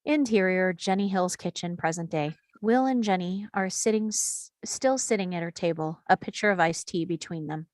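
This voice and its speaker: A woman's voice: lowish voice, moderate pace, hardly any pauses, darkish tone, dry recording. A woman reading scripted screenplay action and scene headings as a narrator at a table read. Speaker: stage directions reader.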